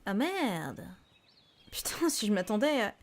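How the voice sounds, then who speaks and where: A woman's voice: medium pitch, big pitch swings, a little slow. Actress as bitter, sarcastic young woman. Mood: surprised, friendly. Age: young adult. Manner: sarcastic, casual, swearing. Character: bitter, sarcastic, foul-mouthed young woman, grieving daughter. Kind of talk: audio drama acting.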